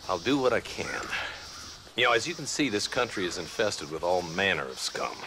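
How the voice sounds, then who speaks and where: An actor playing a weary, sardonic old frontier marshal with an American Western accent in a video game. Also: male voice, low voice, big pitch swings, moderate pace, background noise. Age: older. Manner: sardonic, blunt, folksy. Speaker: town marshal.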